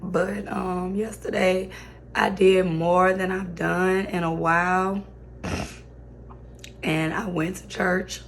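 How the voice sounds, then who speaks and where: A young woman vlogging casually with a hoarse, raspy voice, talking slowly and quietly with many pauses and fillers. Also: on the low side, level tone, slight background noise. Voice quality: raspy, worn-out voice. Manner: slow, subdued talk with many fillers. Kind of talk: casual vlog chat.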